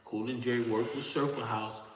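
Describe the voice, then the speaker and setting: A man's voice: mid-range voice, steady tone, moderate pace, background noise. Miami man streaming in a roomy echo. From Miami, Florida. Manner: loose, slangy and profane, talking straight to chat. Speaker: livestreamer. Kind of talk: casual livestream chat to viewers. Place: indoor room, seated in a creaky chair. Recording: roomy echoey room with long reverb.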